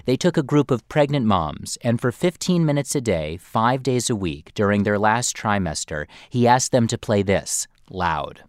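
Male science narrator, low voice, dry studio sound, clear explainer delivery. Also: big pitch swings, moderate pace, few pauses, no echo. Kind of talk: scripted narration.

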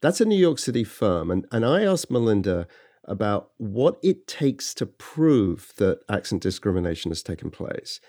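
Measured male podcast host, dark voice. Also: fairly low pitch, sing-song. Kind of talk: scripted narration and chat.

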